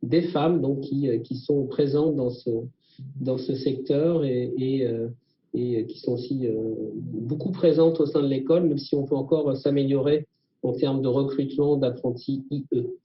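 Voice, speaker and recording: A man giving a semi-formal welcome address for a school, halting and repeating his words. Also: medium pitch, steady tone, faint music behind. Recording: echoey, muffled audio.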